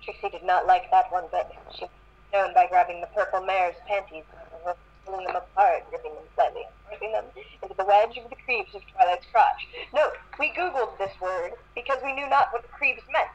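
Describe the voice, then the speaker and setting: A woman's voice: mid-range voice, fairly quick. Woman reading aloud in muffled lo-fi audio. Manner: stumbles over words and repeats them. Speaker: reader in a group fan fiction reading. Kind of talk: amateur read-aloud of a story, with asides.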